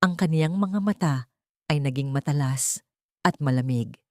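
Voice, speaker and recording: Woman, lowish pitch, storyteller, dramatic scripted audio narration, dry room-free sound. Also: measured pace, warm tone, dry recording.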